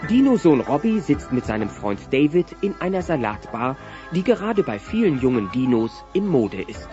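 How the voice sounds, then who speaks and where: A man's voice: medium pitch, sing-song, moderate pace. A man narrating a scripted children's audio play as storyteller. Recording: dull cassette transfer, music behind.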